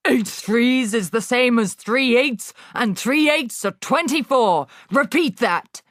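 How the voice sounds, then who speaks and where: A woman voicing a bullying female headmistress who shouts insults at a pupil. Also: on the high side, animated, takes it slowly, dry recording, studio quality, pitched above the performer's own voice. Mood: furious, bullying.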